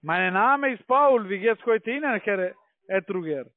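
A man's voice: high-pitched, animated, measured pace, dry recording. A man with a foreign accent, speaking non-native German as a telemarketing caller. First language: not German. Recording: tinny phone line.

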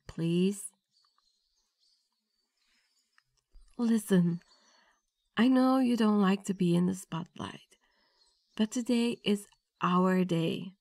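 Woman acting nervous bride-to-be, unhurried and affectionate. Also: medium pitch, dry recording. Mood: tender, reassuring. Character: nervous, affectionate young bride-to-be on her wedding day. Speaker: roleplay audio performer. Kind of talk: ASMR girlfriend roleplay.

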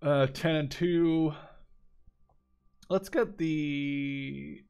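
A man's voice: a little high, steady tone, drawn-out words, vocal fry, a little mellow, clear, resonant tone. A man in his twenties giving casual let's play commentary, rambling and repeating himself, with casual swearing. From Netherlands. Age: 20s. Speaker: gaming video host.

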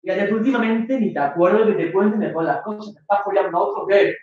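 A woman voicing an aggressive, controlling young boyfriend who shouts and bullies. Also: mid-range voice, quick pace, lightly acted. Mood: furious. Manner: shouting, bullying, dismissive. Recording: echoey, distant, dull stage recording.

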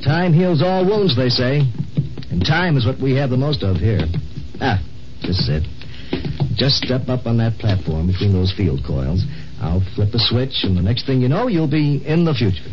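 An actor playing a calm, theatrical doctor in 1950s radio drama, measured and solemn. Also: male voice, medium pitch, over music, lightly acted. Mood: businesslike, hesitant. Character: calm, theatrical male doctor running a time crypt. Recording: muffled, noisy old radio recording.

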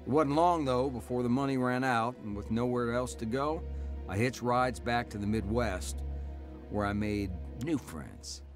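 A man playing a reflective adult recounting his troubled youth in measured, scripted drama narration. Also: medium pitch, faint music behind, natural voice.

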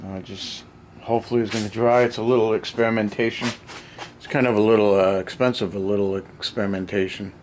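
A man's voice: fairly low pitch, steady tone, brisk, noisy background. A man in a cluttered basement hobby room, casually thinking aloud with fillers while he paints a model, picked up by a camera mic near his hands.

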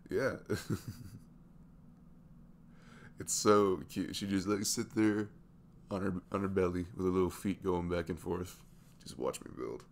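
A man in his twenties playing a sweet, easygoing single dad in an intimate ASMR audio roleplay, chatting haltingly in a velvety voice. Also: slight background noise. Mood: fond, amused. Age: 20s. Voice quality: deep, gravelly velvet voice. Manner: halting, chuckling, conversational.

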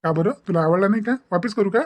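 A man's voice: high voice, quick pace, natural voice. A man voicing a devoted young husband in a cartoon family drama, earnest and insistent, lecturing with questioning tags in a Maharashtrian Marathi accent. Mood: teasing, fond.

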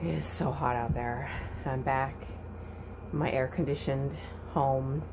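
A woman's voice: a bass voice, fairly even intonation, noisy background. A woman in her thirties from California, chatting casually to her phone camera, first in a parked truck and then at home, sighing and grumbling. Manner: slow, sighing, grumbling complaints. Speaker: vlogger. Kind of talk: casual vlog chat. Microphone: phone at arm's length.

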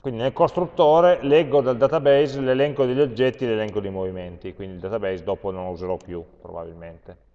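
An Italian man teaching a programming class, thinking aloud as he types code, with frequent pauses and fillers. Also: fairly low pitch, fairly even intonation. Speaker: university lecturer. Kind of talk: live-coding programming lesson. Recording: dull lo-fi lecture capture with long hall reverb.

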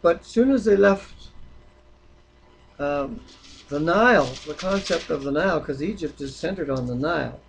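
A man reading the Bible aloud and discussing it informally, with a halting delivery that stumbles over words. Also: a little high, animated, creaky, slight background noise, poor audio. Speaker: Bible reader.

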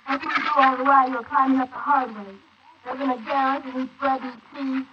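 An actress playing a hard, scheming, impatient young woman in a 1940s radio play, brisk, scornful and coaxing. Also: sits high, steady tone, lightly acted. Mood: cold, scheming. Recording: muffled, hissy 1940s wire recording.

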